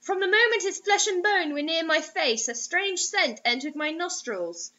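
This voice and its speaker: A woman's voice: very high-pitched, lively intonation, normal speed, sharp tone. A young woman reading bad fiction aloud in a theatrical, overwrought, mocking way with asides. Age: young adult. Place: small indoor room. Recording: dull lo-fi webcam sound.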